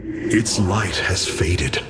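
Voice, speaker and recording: A man's voice: low voice, very slow. A man voicing a noble alien warrior leader, speaking in a grave, formal manner. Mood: resolute. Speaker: hierarch. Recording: game cutscene with loud music.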